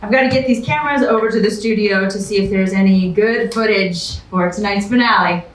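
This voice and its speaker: A woman playing a bossy, harried reality show producer in a staged comedy reading, giving brisk instructions. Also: medium pitch, close to an everyday voice. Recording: echoey room, distant mic, faint noise.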